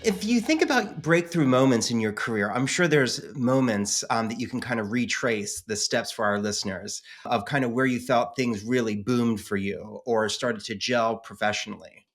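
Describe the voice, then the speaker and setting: A man's voice: mid-range voice, moderate pace, hardly any pauses. A man hosts an interview podcast. His questions wander and hedge before he gets to the point. He sounds conversational and unscripted. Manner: rambling, hedging questions. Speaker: podcast host.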